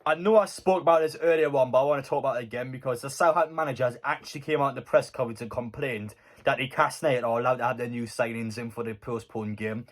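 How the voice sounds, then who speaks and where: A man's voice: medium pitch, quick pace. A man giving conversational, opinionated football news commentary as a fan YouTuber.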